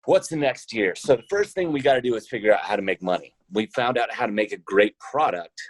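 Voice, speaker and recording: A man's voice: lowish voice, animated, a little fast, dry recording, poor audio. A man answering casually and conversationally as the guest in a podcast interview.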